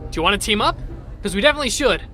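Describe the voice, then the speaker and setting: A man plays an excitable little robot who can't stop talking, rattling off ideas and jokes. His voice is bright and springs up and down all over the place, with faint music behind. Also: high voice, some chatter behind. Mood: excited. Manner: rapid, chirpy, rambling, joking. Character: chatty excitable robot bartender, male. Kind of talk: fan audio drama acting.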